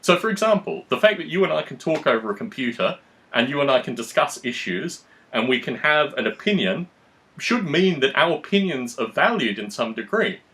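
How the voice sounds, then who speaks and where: A man giving opinionated political talk as a podcast host, in a deliberate, wordy manner with formal phrasing. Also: on the high side, big pitch swings, bright, forceful tone.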